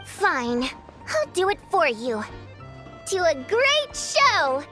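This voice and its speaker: A woman's voice: extremely high pitch, big pitch swings, slow pace, bright, forceful tone, faint music behind, full character voice. A woman playing a playful, pushy young performer in a video game cutscene, with a teasing, theatrical delivery.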